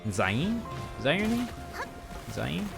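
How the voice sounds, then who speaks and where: A man's voice: on the high side, very animated, slow pace, gravelly. A man doing casual live game commentary as a streamer, muttering and exclaiming to himself as he plays. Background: game music.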